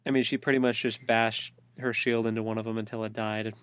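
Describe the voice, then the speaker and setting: A man's voice: fairly low pitch, little pitch movement, fairly quick, fairly bright tone, clean tone. A man co-hosting a casual book discussion, recapping the story informally with hesitant, joking asides. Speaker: co-host of a book read-along show. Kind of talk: casual chat, recapping a fantasy novel.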